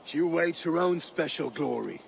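An actor playing a loyal, eager Norse warrior comrade in a video game, speaking in a declamatory, portentous way. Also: male voice, a little high, animated, normal speed, gravelly, slight background noise. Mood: stirring, earnest. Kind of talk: video game cutscene acting.

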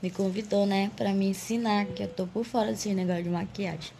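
A young woman, hoarse from a cold, casually greeting viewers in a vlog intro while lying down with her phone close. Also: medium pitch, steady tone, fairly quick, dark tone, slight background noise. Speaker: vlogger. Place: lying down indoors. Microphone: phone held close.

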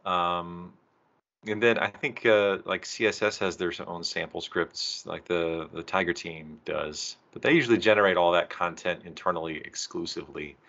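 A man presenter giving casual, halting answers in an online meeting over a video call. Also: low-pitched, fairly even intonation, vocal fry, fairly bright tone. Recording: dull online call audio.

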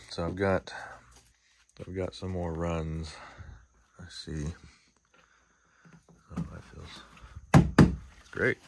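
A man casually talking through his boatbuilding project in a home garage workshop, relaxed and easygoing, recorded on a handheld phone. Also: sits low, extremely slow, gravelly, pauses often, a little hiss or hum. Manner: relaxed, easygoing, thinking aloud.

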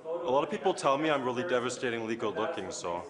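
A man's voice: mid-range voice, animated, fast talker, a crowd in the background. A young man answering interview questions in a self-assured, vain way, leaning on fillers like basically. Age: young adult. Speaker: interviewee, model.

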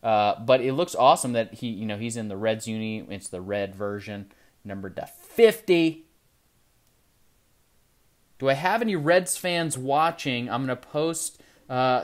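A man hosting a casual card-opening video at his desk, chatting excitedly, then trailing off quietly as he looks over each card. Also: mid-range voice. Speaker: trading card channel host. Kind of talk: casual pack-opening commentary. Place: indoor desk with card display. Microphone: camera over the desk, hands only in frame.

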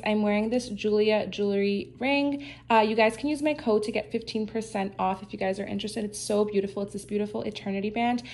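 A woman's voice: medium pitch, a little mellow, roomy sound, slight background noise. A woman in her twenties chatting casually to her phone camera in a mirror, bubbly and full of "like" and "you guys". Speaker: lifestyle vlogger. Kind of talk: casual vlog chat to camera. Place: small indoor hallway.

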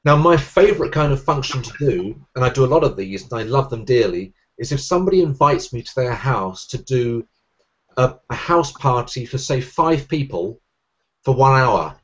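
Fast-talking Sussex-accented Englishman. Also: medium pitch, dull audio. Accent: Southern English (Sussex). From England. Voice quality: clear diction. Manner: fast, energetic talker, gestures while speaking. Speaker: magician guest. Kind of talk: casual video-call interview. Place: room at home, indoors. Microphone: webcam on a video call.

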